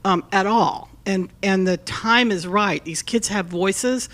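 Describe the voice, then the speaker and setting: A woman in the audience speaking up loudly to advocate a cause. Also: mid-range voice, slow. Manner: loud, advocating. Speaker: audience member.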